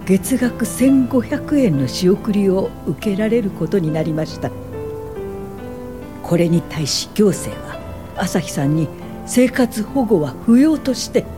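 An actress playing a principled medical social worker in a radio drama, speaking in a measured, formal and steady way. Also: female voice, fairly low pitch. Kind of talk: radio drama narration and acting.

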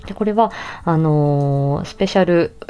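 A woman with a low, mellow voice gives a casual solo talk as a voice actor. She speaks politely and reflectively, as if chatting with listeners. The tone is dark and relaxed. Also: a little slow, clear, resonant tone, muffled recording. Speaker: voice actor presenting own work.

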